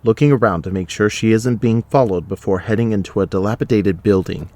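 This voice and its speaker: A man's voice: fairly low pitch, a little fast, warm tone. A man narrating a scripted audio drama, setting each scene in the present tense.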